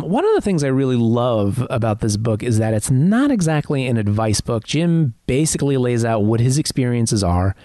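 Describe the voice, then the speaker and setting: A man in his thirties hosting a podcast in a friendly, conversational way, with small hesitations. His voice sits at a middle pitch. The recording is close and dry. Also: mellow, no room sound. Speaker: podcast host and interviewer. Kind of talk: podcast intro and interview chat.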